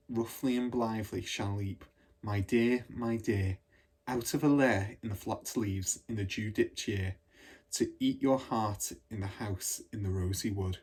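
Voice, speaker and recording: A man voicing a gentle, reserved woman schoolteacher in a storybook, speaking in a soft, measured way. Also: medium pitch, lightly acted.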